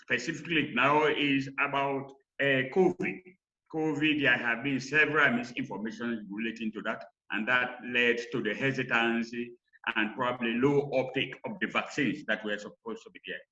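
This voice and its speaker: A man from Sierra Leone with a Sierra Leonean accent, presenting recommendations in a halting, emphatic lecture style over lo-fi call audio. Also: medium pitch, steady tone, sharp tone. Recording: dull, lo-fi online call audio.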